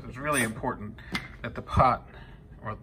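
A man in his fifties from Peru talks through a recipe at a medium pitch, chatty and explanatory, often pausing with "uh" and correcting himself. He is recorded on a phone in his home kitchen, with clear background noise under his voice. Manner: explanatory, conversational, with frequent fillers and self-corrections. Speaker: home cooking channel host. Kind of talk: step-by-step cooking demonstration.

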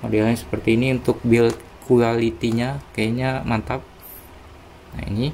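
Gravelly man, casual product unboxing. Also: mid-range voice, level tone, normal speed, a little hiss or hum. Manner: informal, hesitant, thinking aloud. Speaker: unboxing video presenter. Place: indoors, small room at a desk.

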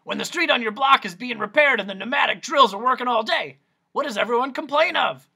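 Eccentric male quack doctor, theatrical and lecturing, high and loud, voiced by a man reading. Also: lively intonation, a little fast, breathy edge, exaggerated voice. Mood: quizzing. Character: fussy eccentric male noise doctor, showman.

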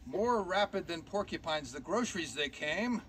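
A man's voice: a little high, expressive, moderate pace, fairly bright tone. A man voicing a grumbling puppet who recites comic rhymes theatrically in a noisy live puppet show. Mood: gleefully reciting. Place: live talent show, recorded from the audience.

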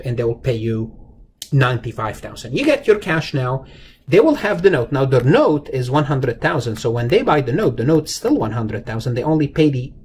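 A man giving an informal accounting lecture, explaining step by step with emphatic repetition and rhetorical questions aimed straight at the learner. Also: medium pitch. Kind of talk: explanatory lecture over slides, informal and conversational. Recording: noticeable background noise.

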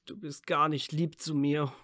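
A man's voice: on the high side, level tone, unhurried, a bit bright, dry recording, clean studio sound. A man playing a playfully whiny, smitten young wizard student in a fan audio drama. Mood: mock sulky.

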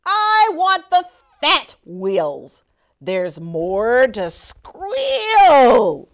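A woman voicing a small, confident male hamster racer in a storybook, excited and emphatic. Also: high voice, extremely slow, heavily acted.

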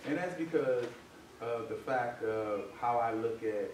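A man's voice: medium pitch, unhurried, a crowd in the background. A man giving a reflective comment in a Bible class, often checking that listeners follow with small tag phrases. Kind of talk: reflective group discussion comment. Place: church meeting room, far camera. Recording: distant mic, very echoey, noisy room.

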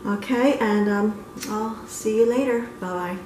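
A woman's voice: mid-range voice, measured pace, heavy background noise. A woman in her fifties shows her antique booth items, chatting casually with frequent ums and self-corrections. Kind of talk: casual show-and-tell vlog. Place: living room, then car and antique mall.

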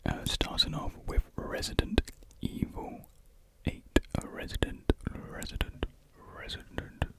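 Young British male, close-miked breathy whisper, casual and hesitant ASMR chat. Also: unhurried. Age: young adult. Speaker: ASMR video creator. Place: small indoor room at home. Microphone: large USB desktop mic, very close.

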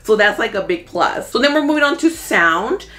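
A woman in her thirties talking casually as a movie vlogger, thinking out loud in an informal, conversational way. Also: fairly high pitch, expressive, moderate pace. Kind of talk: casual chatty commentary. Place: small room at home. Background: background music.

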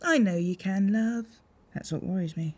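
A woman acting in a radio soap as a brisk, doubting wife who runs a village post office. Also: mid-range voice, a little mellow, a little hiss or hum, close to an everyday voice. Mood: brisk worry.

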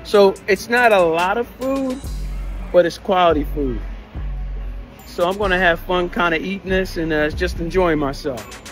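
A man's voice: high voice, normal speed, fairly bright tone, soft background music. Chatty man reviewing food in a restaurant. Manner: chatty, enthusiastic, lists dishes. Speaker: food vlogger. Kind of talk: casual restaurant review vlog. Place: indoor restaurant booth. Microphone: clip-on lapel mic.

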